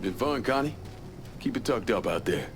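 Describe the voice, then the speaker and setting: An actor playing a tough, terse American criminal leader in a video game cutscene, blunt and low-key. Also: male voice, animated, normal speed, natural voice. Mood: cool, wry. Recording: clear background noise.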